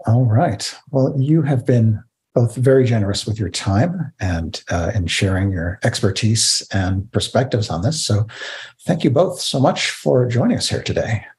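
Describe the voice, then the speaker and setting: A man's voice: mid-range voice, animated, a little slow, darkish tone. A middle-aged man hosting a casual interview over a video call. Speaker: interview host.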